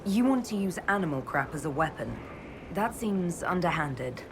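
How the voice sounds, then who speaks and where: An actress playing a composed female military leader in a video game, questioning companions in a measured, dry way. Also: lowish voice, sing-song. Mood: curious, composed. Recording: clear background ambient noise.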